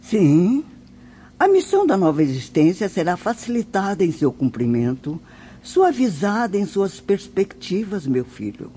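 Mellow, solemn spirit mentor. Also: female voice, medium pitch, very animated, measured pace, a little hiss or hum. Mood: grave, consoling. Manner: didactic, solemn, formal. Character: wise spirit mentor teaching suicide souls, solemn, compassionate. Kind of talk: radio drama acting.

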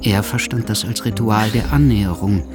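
A man's voice: fairly low pitch, steady tone, moderate pace, music in the background, natural voice. A man reading scripted literary narration for a German radio drama. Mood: measured narration. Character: literary narrator of an exiled revolutionary's life.

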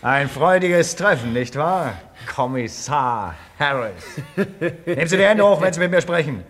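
High-pitched, excitable hero detective. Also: male voice, unhurried. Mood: triumphant, mocking. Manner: declamatory, exclaiming. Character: excitable heroic young male detective, theatrically triumphant. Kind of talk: 1950s German radio drama acting. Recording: 1950s radio, long reverberant hall.

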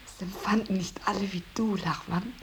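An actress playing a painter's reserved, sceptical daughter in a radio drama, with a hushed, breathy, probing delivery. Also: female voice, mid-range voice, fairly even intonation, moderate pace, a little hiss or hum, lightly acted. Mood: wistful, guarded. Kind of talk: 1980s German radio drama acting.

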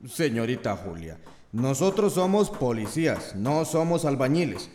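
A man's voice: medium pitch, very animated, unhurried, darkish tone, reverberant, a little hiss or hum. An actor with a Central American accent playing a defensive, reluctant small-town policeman in a radio drama.

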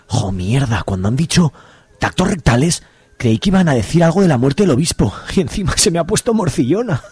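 A man playing a silly, foul-mouthed fellow in a comic radio serial, with a husky voice. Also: on the high side, very animated, brisk, darkish tone, dry recording, heavily acted. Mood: disappointed.

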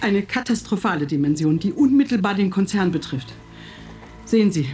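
An actress playing a grand old woman professor chairing a board meeting, measured and formal. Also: medium pitch, lively intonation, darkish tone, faint music behind, lightly acted. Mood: grave. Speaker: company executive in a radio drama. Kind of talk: radio drama acting.

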